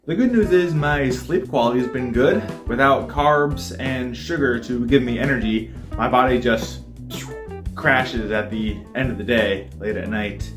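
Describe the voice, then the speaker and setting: A young man narrating his own diet vlog in a casual, candid way, describing how he feels day to day. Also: medium pitch. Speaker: fitness vlogger.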